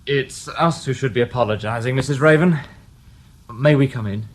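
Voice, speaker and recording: A man's voice: mid-range voice, moderate pace, lightly acted. An actor playing a firm, sardonic male detective inspector in a radio drama.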